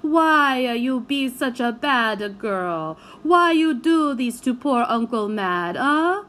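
A woman's voice: on the high side, animated, takes it slowly, a bit bright. A woman voicing a wheedling Italian-accented man who pleads in broken phrases. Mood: coaxing.

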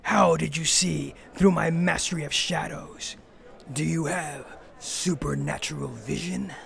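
A slow, gravelly, melodramatic dark vigilante. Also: male voice, on the high side, full character voice. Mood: solemn. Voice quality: gravelly, often whispery. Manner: slow, pompous, theatrically portentous. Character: self-styled male dark vigilante, grandiose, melodramatic, absurdly earnest. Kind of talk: comedic fantasy audio drama, brooding monologue.

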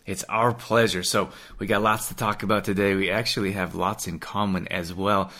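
A man's voice: lowish voice, flat delivery, moderate pace, sharp tone. A man hosting a podcast, upbeat and friendly as he interviews a guest. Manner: upbeat and friendly, laughs easily. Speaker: podcast host.

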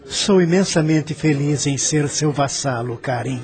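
A man's voice: fairly high pitch, a little slow, over music, lightly acted. An actor playing an earnest, awestruck young man devoted to his bride-to-be, in a radio drama. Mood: awed.

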